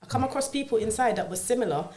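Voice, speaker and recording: A woman's voice: a little high, expressive, racing, darkish tone. A woman from Jamaica, a podcast guest telling her own life story in an earnest, reflective way, often checking in with "you know what I mean". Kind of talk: personal life story in a casual interview.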